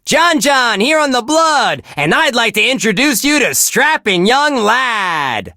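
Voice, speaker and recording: An actor playing a relentlessly cheerful, wholesome radio DJ on a metal station in a video game, with chirpy, earnest patter. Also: male voice, very high voice, very animated, a little slow, creaky, pushed and bright, no room sound, studio quality, exaggerated voice. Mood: sunny, enthusiastic. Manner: chirpy, earnest, gee-whiz exclamations. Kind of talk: upbeat radio DJ patter, scripted.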